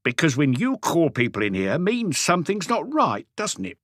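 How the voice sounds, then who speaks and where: A man's voice: a little high, wildly swooping pitch, normal speed, fairly bright tone, no echo, clean studio sound, pitched above the performer's own voice. A man voicing a wry, evasive old house painter with colloquial speech, in an audiobook story. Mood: cagey, wry. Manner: colloquial, evasive, answers questions with questions. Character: cagey old Parisian workman, stocky house painter, wry.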